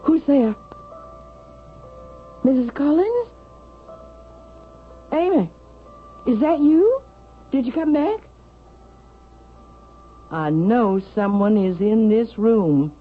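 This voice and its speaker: Slow-speaking, anxious older mother, tinny sound. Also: a little high, animated. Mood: fearful, wary. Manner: pleading, halting, stammering. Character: anxious, frightened older widowed mother, mistrusting her son-in-law. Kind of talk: vintage radio drama acting. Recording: thin phone-like old radio, music behind.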